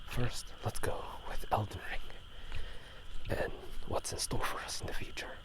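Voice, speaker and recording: Slow, whispering man in an ASMR style. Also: low-quality recording. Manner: soft, unscripted, rambling, self-correcting. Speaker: video host. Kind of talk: whispered ASMR chat about video games. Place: outdoors at night near rice fields. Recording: outdoor night recording.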